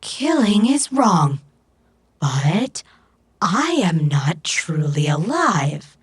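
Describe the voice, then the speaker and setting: A stoic female robot in a cyberpunk audio drama. She speaks very slowly and formally, in a lowish voice that moves in lively steps, solemn and literal. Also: husky, heavily acted. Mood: solemn, detached. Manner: formal, measured, literal phrasing.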